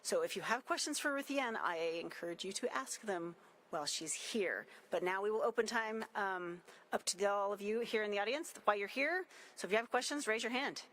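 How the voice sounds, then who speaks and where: A woman's voice: medium pitch, brisk, a bit bright. Woman moderating a press Q&A. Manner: orderly, guiding. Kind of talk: hosting a press Q&A.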